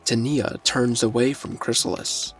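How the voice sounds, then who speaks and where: Male story narrator over clear music. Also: mid-range voice, fairly even intonation, measured pace, poor audio.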